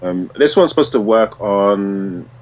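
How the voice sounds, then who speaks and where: A man's voice: on the low side, expressive, measured pace, dull audio. A man co-hosting a podcast in casual, slangy chat with some swearing. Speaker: podcast co-host. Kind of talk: casual chat about comic book movies.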